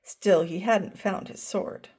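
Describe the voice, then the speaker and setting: An older woman reading a picture book aloud to children, gently asking them questions along the way. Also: medium pitch, takes it slowly, slightly rough. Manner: gentle, asks listeners questions. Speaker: storyteller grandmother.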